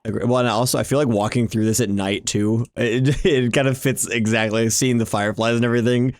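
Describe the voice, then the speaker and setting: A man's voice: medium pitch, fairly even intonation, brisk, dry recording, clean studio sound. A man giving casual, enthusiastic gameplay commentary, chatting and rambling as he hosts. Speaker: video game YouTuber, host. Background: background music.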